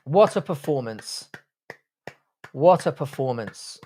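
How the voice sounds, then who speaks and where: A man's voice: mid-range voice, expressive, slow pace, a little mellow, a little hiss or hum. Blunt, crude man chatting live. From Ireland, grew up in the UK. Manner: blunt, jokey, crude banter. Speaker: livestream host reading chat. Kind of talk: casual live chat.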